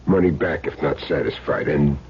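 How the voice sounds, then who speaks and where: An actor playing a cool, wisecracking hired killer in a radio drama, dry and glib. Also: male voice, rumbling low, moderate pace, slightly rough, heavily acted. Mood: cool, businesslike. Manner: dry, glib, evasive answers. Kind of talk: 1970s radio drama acting. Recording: dull vintage radio broadcast.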